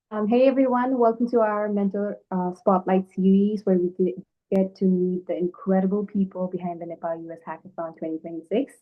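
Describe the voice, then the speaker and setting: Bubbly woman host on a tinny line. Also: medium pitch. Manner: bubbly, enthusiastic, filler-heavy. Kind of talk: casual interview hosting. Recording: tinny phone-like call line.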